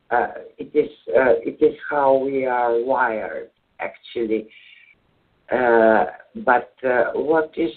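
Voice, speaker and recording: Serbian-accented man, slow, halting with lots of uh's, mid pitch, phone-line sound. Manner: hesitant, many filler sounds and restarts. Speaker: guest. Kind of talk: call-in radio interview. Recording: tinny phone-line audio.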